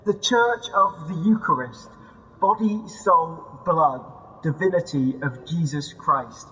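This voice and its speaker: Actor as a needling, higher-pitched man, reverberant. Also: slow pace, sharp tone. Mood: musing, mocking. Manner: insistent, prodding, teasing. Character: needling male inner voice dredging up memories. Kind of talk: audio drama acting, poetic second-person narration. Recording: dull sound with long hall reverb.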